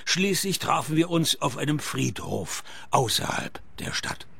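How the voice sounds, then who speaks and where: Radio play: dry, sardonic male private eye. Gravelly fry, slightly raised register, deadpan narration and dialogue. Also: fairly high pitch, a little slow, no room sound, full character voice. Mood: wry narration.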